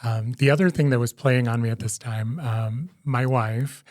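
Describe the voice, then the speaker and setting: A middle-aged man, a former priest, telling his story in an interview with many ums, restarts and quick affirmations. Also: medium pitch, steady tone, a little mellow, no echo, clean studio sound. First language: English.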